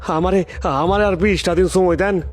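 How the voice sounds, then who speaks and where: A man's voice: sits high, steady tone, moderate pace, lightly acted. A man playing a poor, humble fisherman in a cartoon story, pleading plaintively in a rural East Bengali dialect, with music behind. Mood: anxious pleading. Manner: pleading, plaintive, stammering repeats. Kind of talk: dramatic cartoon story acting. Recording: background music under the voice.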